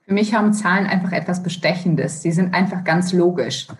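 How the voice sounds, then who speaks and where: A woman's voice: on the low side, a little fast. Brazilian woman speaking German thoughtfully. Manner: thoughtful, many fillers and restarts, mixes in English words. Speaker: guest, finance executive. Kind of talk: interview answers.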